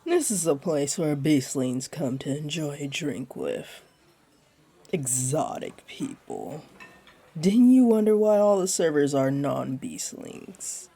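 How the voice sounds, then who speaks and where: A flirty jaguar beastling woman, played by a voice actress, speaks in a dark, mellow voice with a husky, breathy edge. She takes her time, teasing in a playful, laid-back way and soothing as she goes. It is intimate roleplay audio aimed at a single listener. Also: low voice, lively intonation, slow, exaggerated voice. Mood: relaxed, flirty. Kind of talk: roleplay audio acting, addressed to one listener.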